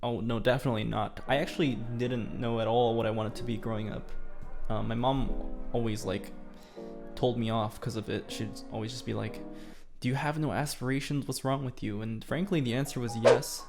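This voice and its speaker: A young man from Korea, Korean his first language, reads out fan questions and answers them with dry, self-mocking jokes. His voice sits at an everyday middle pitch. Clear background music plays under his talking. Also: steady tone, brisk. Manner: playful, self-deprecating, reads questions aloud. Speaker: YouTuber. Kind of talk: casual Q&A vlog.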